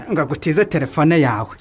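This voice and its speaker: A man's voice: on the high side, animated, normal speed, close to an everyday voice. A man with a Rwandan accent playing a suspicious, teasing bar worker in a radio drama, stammering and mocking. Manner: stammering repeats, chuckling, mocking.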